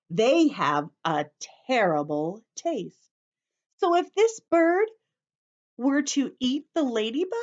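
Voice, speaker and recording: Slow, lively woman teacher reading to children. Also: a little high, highs cut off. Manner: instructive, addresses children with questions and simple repetition. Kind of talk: children's story-time read-aloud with simple explanations.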